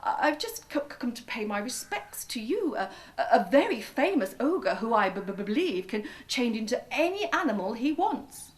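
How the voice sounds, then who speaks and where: A crafty, high-voiced storybook cat that stammers when scared and wheedles when scheming, as a woman puts him on. The voice bounces around lively. A told-aloud fairy tale for children. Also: takes it slowly, exaggerated voice. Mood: nervous stammer. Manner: stammering, cajoling.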